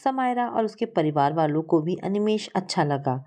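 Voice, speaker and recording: A woman's voice: fairly low pitch, lively intonation, moderate pace, warm tone. A woman narrating a scripted story as a storyteller.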